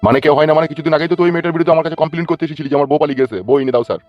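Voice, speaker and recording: A middle-aged police officer , voiced by a man, barks quick questions, puzzled and blustering. His voice sits in an ordinary middle pitch, and the sound is a bit dull and muffled. Light music plays under the cartoon. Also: lightly acted.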